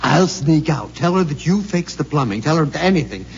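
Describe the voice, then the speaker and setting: An actor playing a shaken, frightened husband who stammers urgently in a 1950s radio drama. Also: male voice, a little high, animated, normal speed, vocal fry. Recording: dull 1950s radio broadcast with faint hum.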